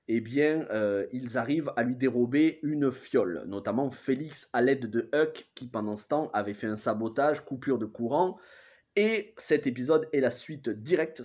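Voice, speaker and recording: A man in his thirties speaks with the congested sound of a cold, his pitch sitting in an ordinary middle range. He recaps a TV episode casually and haltingly, pausing and correcting names as he goes. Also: darkish tone. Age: 30s. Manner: hesitant, restarts and corrects himself often. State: has a cold. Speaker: TV series reviewer.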